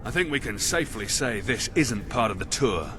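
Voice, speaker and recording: Video game royal escort, male actor: wry, watchful soldier, dry delivery, over a clear music bed. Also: medium pitch, expressive, moderate pace, close to an everyday voice. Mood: dry, wary. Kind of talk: video game character dialogue.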